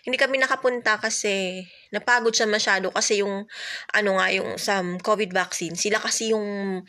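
Chatty Filipino woman with a bright voice. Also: medium pitch. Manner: chatty, rambling, lots of fillers and soft laughs. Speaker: vlogger. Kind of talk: casual chat to camera, mixing Tagalog and English. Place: home, indoors. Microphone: phone held close, front camera.

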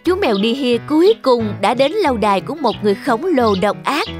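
Casting: female storybook narrator, fairly high voice, scripted children's fairy tale with music underneath. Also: expressive, a little fast, a little mellow.

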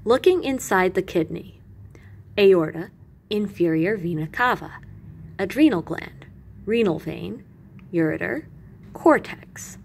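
Animated woman reading aloud, slightly husky. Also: medium pitch, takes it slowly, long pauses. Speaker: teacher reading aloud to students. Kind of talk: expressive educational read-aloud.

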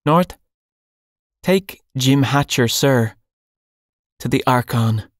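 A man's voice: mid-range voice, lively intonation, drawn-out words, no echo, clean studio sound, pitched above the performer's own voice. A man voicing a young, stern male pirate captain who speaks in cold, clipped commands. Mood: brisk, commanding.

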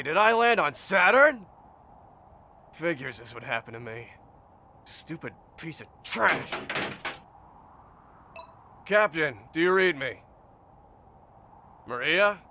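An actor playing a blunt, hot-tempered stranded space soldier in an audio drama, combative and defiant. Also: male voice, on the high side, bright, forceful tone, slight background noise, heavily acted. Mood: angry outburst, then frustrated.

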